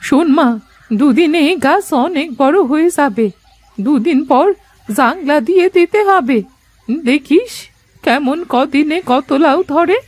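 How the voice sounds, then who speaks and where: Cartoon granny beggar, gentle n motherly, really high voice, close dry sound. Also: lively intonation, unhurried, no room sound. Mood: warm, coaxing. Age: older. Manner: folksy, coaxing, addresses others as her children. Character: kindly old beggar woman, gentle and motherly. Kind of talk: Bengali moral-story cartoon dubbing.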